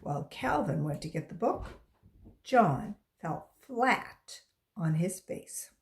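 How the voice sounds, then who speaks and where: A woman reading a storybook aloud to children as a volunteer, pausing to explain things to young listeners. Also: on the low side, lively intonation, gravelly, slight background noise.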